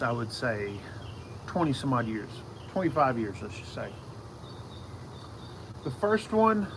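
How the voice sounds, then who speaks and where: A middle-aged man giving a casual, unscripted hobby explainer, halting and often repeating himself as he circles back to his points. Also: mid-range voice, a bit bright. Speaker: radio hobbyist video host. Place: outdoors in a yard. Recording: outdoor noise with insects and traffic.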